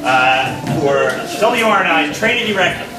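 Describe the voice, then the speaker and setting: Guy host on a stage, kinda high voice, distant mic, lots of noise. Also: animated, unhurried, over music. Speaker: radio host introducing actors. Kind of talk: live stage hosting. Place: large theatre stage. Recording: echoey theatre, far off, loud noise.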